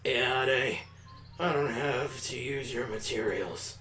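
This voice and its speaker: A man voicing a shy, naive girl student who speaks in a halting, subdued way. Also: medium pitch, pushed and bright, echoey room. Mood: hesitant.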